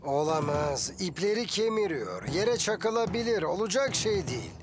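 A man's voice: high voice, expressive, moderate pace, faint music behind. An actor voicing an enthusiastic inventor father in a dubbed cartoon, fussing when things go wrong. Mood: alarmed. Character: eager inventor dad, adult male. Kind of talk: cartoon dubbing.

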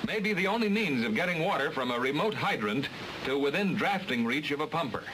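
A man narrates a training film in a steady, matter-of-fact way, his voice a little high and moving lively up and down. The sound comes from an old film transfer, with plain hiss underneath. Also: a little fast. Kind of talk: scripted instructional narration.

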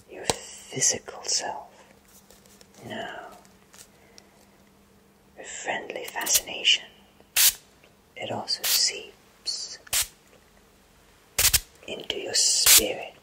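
A man in his thirties voicing a kindly fantasy potion shopkeeper in a soothing, breathy ASMR whisper. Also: rough recording. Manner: slow, soothing, reassuring. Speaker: ASMR roleplayer.

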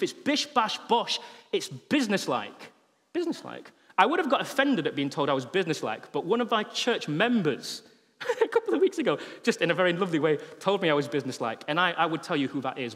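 A man in his thirties gives a sermon from a stage, his headset mic catching a chatty, humorous delivery. His voice sits a little on the high side for a man. The large church hall carries a long, lingering reverb behind every sentence. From England. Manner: conversational and humorous, with animated gestures. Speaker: pastor.

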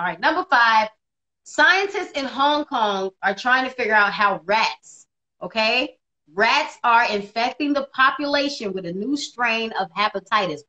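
A woman in her thirties co-hosting a casual live video chat from home, animated and quick to laugh, on a phone or laptop mic. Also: a little high, dull audio. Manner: animated, laughs often, bright delivery. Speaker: co-host. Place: indoors at home. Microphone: phone or laptop camera on video call.

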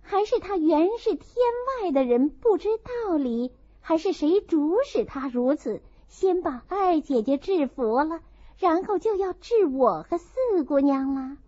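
A woman voicing a sharp-tongued, indignant young noblewoman in an audiobook, with forceful, pointed delivery. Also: high voice, lively intonation, measured pace. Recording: dull lo-fi dry recording.

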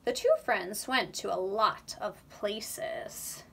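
A woman in her thirties reading a children's picture book aloud, slow and expressive, recorded on a webcam in a small room. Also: mid-range voice, creaky, slight background noise. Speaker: read-aloud reader. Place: indoor room, under a sloped ceiling.

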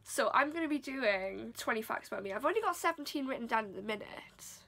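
A woman's voice: on the high side, lively intonation, fairly quick, gravelly, sharp tone. A South Wales woman in her twenties, chatting bubbly and self-deprecating in a casual bedroom vlog, filmed on a camera at desk distance. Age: 20s. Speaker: vlogger. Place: small bedroom indoors.

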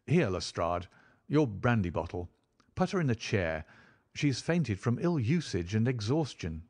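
A man's voice: lowish voice, very animated, unhurried, darkish tone, slightly rough, exaggerated voice. A man playing a decisive, grave Victorian detective in an audiobook, speaking in a formal, commanding manner. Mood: indignant, commanding. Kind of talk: dramatic audiobook character acting.

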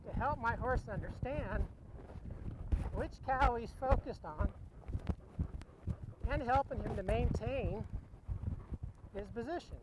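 A middle-aged man giving calm, explanatory riding instruction from horseback in an outdoor dirt arena, calling out directions as he goes. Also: very high-pitched, slow, pauses often. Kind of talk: live instructional commentary while riding. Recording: noisy outdoor arena recording. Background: hoofbeats, wind and outdoor ambience.

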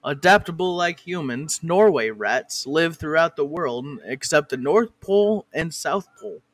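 A man's voice: a little high, expressive, unhurried, a little hiss or hum. A man narrating a comic fan parody of an educational cartoon, breaking off to swear and argue with a director.

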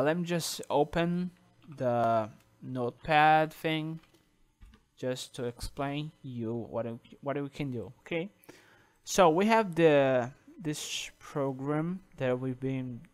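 Male tutor, native Portuguese speaker, casual halting delivery with frequent "okay?", mid-high voice. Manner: halting, many restarts and "uh", tags sentences with "okay?". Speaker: programming tutor. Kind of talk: casual screen-recorded coding tutorial.

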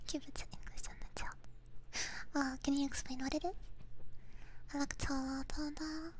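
Whispering woman, casual ASMR streamer. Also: high-pitched. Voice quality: breathy, mostly unvoiced whisper. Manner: soft, informal, playful. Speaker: ASMR streamer chatting with live chat. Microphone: binaural ASMR microphone. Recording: close binaural mic, audible background noise.

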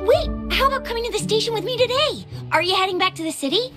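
A woman's voice: extremely high pitch, lively intonation, fast talker. A woman playing an upbeat, friendly young patroller who is eager to help, in a video game. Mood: bright, friendly. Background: game music.

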